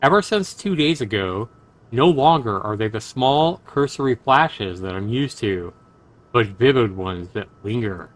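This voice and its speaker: A man's voice: mid-range voice, expressive, unhurried. A man livestreaming a game, mixing commentary with dramatic reading aloud as host. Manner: profane, exclamatory reactions.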